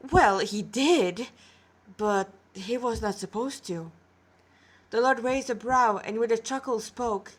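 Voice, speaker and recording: A woman's voice: fairly high pitch, slow pace. A tired-sounding woman reads a story aloud as an amateur narrator, dramatic in delivery and informal in her asides, recorded on a tablet. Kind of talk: narrated story reading with character dialogue.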